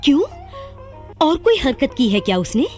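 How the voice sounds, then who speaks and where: An actress playing a curious, concerned confidante of a princess in a historical radio drama, in an animated, theatrical manner. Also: female voice, high-pitched, moderate pace, faint music behind.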